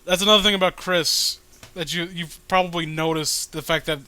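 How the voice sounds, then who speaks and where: Male commentator, bright crisp voice, sarcastic and profane, casual mocking read-along style. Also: fairly high pitch, fairly even intonation, normal speed. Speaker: host.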